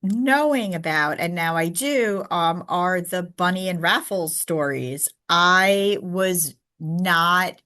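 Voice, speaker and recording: A woman's voice: fairly low pitch, slow, hardly any pauses, bright, forceful tone. An American woman hosts a podcast and asks her guest about his work. She rambles, backtracks and corrects herself as she goes, with plenty of fillers. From United States. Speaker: podcast host. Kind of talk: interview questions.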